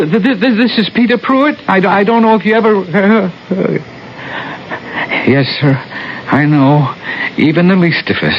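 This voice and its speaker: An actor playing a meek, bumbling male ghost in a radio drama, hesitant and deferential, with a stammer. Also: a little high. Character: meek, bumbling, apologetic male ghost named Peter Pruitt. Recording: muffled, noisy 1970s radio recording.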